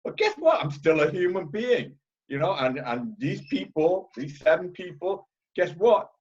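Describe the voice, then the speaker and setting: Loud blunt man, British accent. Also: high voice, very animated, unhurried, breathy edge, lo-fi sound. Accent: Liverpool-tinged British. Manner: loud, blunt, repeats "okay?" often. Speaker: conference speaker. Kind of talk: heated panel talk.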